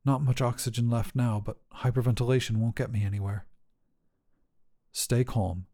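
Dark-toned man narrating an astronaut's ordeal. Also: medium pitch, steady tone. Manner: deliberate, intense, short measured phrases. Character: desperate oxygen-starved astronaut telling his own story. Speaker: story narrator. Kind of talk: dramatic first-person fiction narration.

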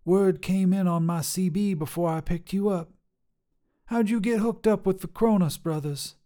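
A man's voice: high-pitched, normal speed, dark tone, voice pushed up, close to an everyday voice. A man voicing a wiry, mocking woman trucker who turns out to be a demon, with a drawling, teasing, folksy manner. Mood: sly teasing.

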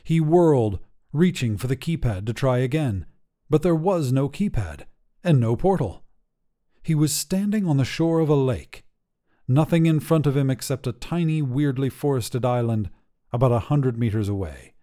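A man narrating a scripted short story as a podcast host, in an expressive storytelling manner. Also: mid-range voice, moderate pace.

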